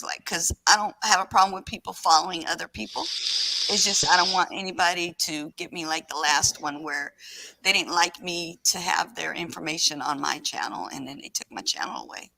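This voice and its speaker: A woman hosting a casual livestream in a raspy voice, sick with a scratchy throat and talking in a rambling, halting way. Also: lowish voice, sharp tone, no echo. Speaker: livestream host.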